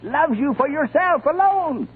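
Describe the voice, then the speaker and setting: An actor playing a scheming, gloating old enchanter in a 1950 radio drama, with theatrical, drawn-out delivery. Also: male voice, very high-pitched, expressive. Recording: tinny, noisy 1950 radio broadcast.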